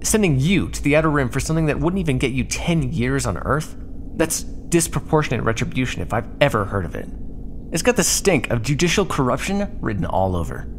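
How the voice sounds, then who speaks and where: A man playing a prickly, sarcastic starship crewman in an ASMR roleplay, talking with quick-tempered exasperation. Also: mid-range voice, big pitch swings, a little hiss or hum.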